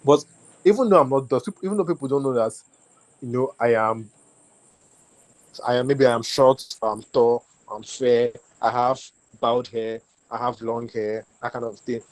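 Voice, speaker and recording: A man's voice: mid-range voice, fairly even intonation, normal speed, low-quality recording. A young man from Nigeria with a Nigerian accent, talking loosely on a group call as a community lead. He keeps restarting his phrases and fills them with "like". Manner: repetitive, restarts phrases, many fillers like "like". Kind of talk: casual talk on a call.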